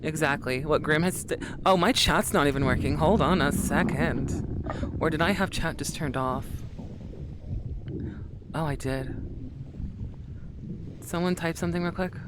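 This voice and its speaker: A woman's voice: deep voice, moderate pace, pauses often, very noisy. A woman streaming and chatting casually with her viewers, rambling and calling them sweetie.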